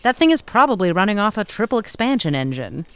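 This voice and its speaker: A woman playing a brisk, know-it-all, brave young tinkerer in an audio drama. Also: mid-range voice, very animated, smooth, no echo. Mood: scheming.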